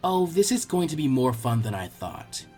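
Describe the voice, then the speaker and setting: Man voicing a sly, husky teenage ninja girl. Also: mid-range voice, very animated, normal speed, warm tone, soft background music, pitched below the performer's own voice. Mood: amused. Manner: teasing, sly.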